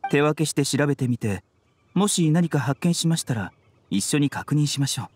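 An actor playing a composed, courteous young male physician in an anime game, polite, measured and gently enigmatic. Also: fairly high pitch, expressive, a little hiss or hum, natural voice. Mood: businesslike. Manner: polite, measured, softly teasing.

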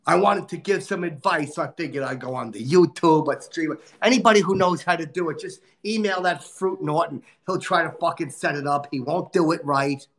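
A man playing a crude, boastful, short-tempered male comic, cursing and griping as he hosts a livestream in a nasal, gravelly put-on voice. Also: fairly high pitch, fairly quick, hardly any pauses, close to an everyday voice. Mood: crude ranting. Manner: profane, insulting, exasperated rants. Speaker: livestream host. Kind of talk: livestream comedy character rant.

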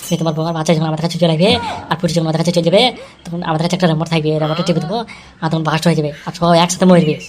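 A man's voice: fairly high pitch, little pitch movement, racing, crowd noise, natural voice. A man playing a boastful, scheming, eager henchman in an amateur comedy cartoon, with a cocky rattling delivery.

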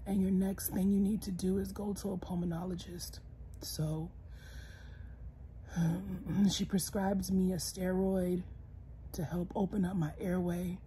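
A young woman lying in bed and talking casually to her phone camera, congested and hoarse, tired and soft-spoken, sometimes dropping to a whisper. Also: lowish voice, little pitch movement, unhurried, pauses often, a little hiss or hum, rough recording. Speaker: vlogger. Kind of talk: casual personal vlog. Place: bedroom, lying in bed indoors. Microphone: phone camera close, held low.

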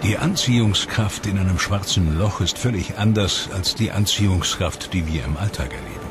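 Deep-voiced male documentary narrator. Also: moderate pace. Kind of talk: scripted documentary voice-over. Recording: studio voice-over over loud music. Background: music.

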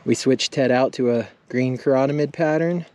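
A man's voice: mid-range voice, moderate pace, a little mellow, no echo, highs cut off, faint music behind. A man hosting a fishing video, giving upbeat play-by-play from a boat on a lake, recorded on an action camera. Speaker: fishing channel host. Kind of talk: casual fishing commentary.

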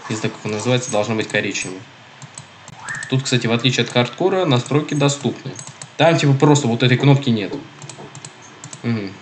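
A man's voice: medium pitch, a little hiss or hum. A young man with a cold and a nasal, stuffy voice, chatting casually through a game and reading its text aloud. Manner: chatty, rambling, self-correcting. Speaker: gaming video host.

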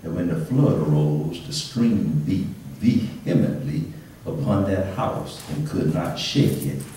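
Echoey, low-voiced preacher man. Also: mellow, slight background noise. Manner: conversational preaching with repeated calls for response. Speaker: pastor, guest preacher. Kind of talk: church sermon. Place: indoor church, speaking from pulpit to congregation. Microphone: handheld microphone through PA, picked up by distant camera. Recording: echoey church hall, distant camera microphone, congregation responding.

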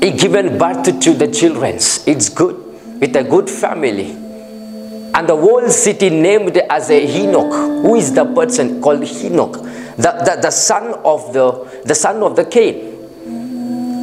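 Man from India, preacher, emphatic sermon, repeats phrases, fairly high lively voice, music behind. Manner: emphatic, repetitive preaching with frequent restarts.

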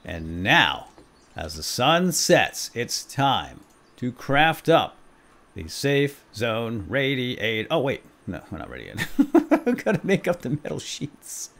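A man's voice: mid-range voice, huge pitch swings, takes it slowly, gravelly, fairly bright tone. A man hosting a gaming video with playful, exclamatory role-play commentary, talking to himself and his viewers.

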